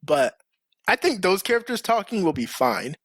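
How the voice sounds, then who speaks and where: A man hosting a casual podcast discussion, talking in an animated, emphatic way. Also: high voice, moderate pace, no echo, clean studio sound. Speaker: podcast host.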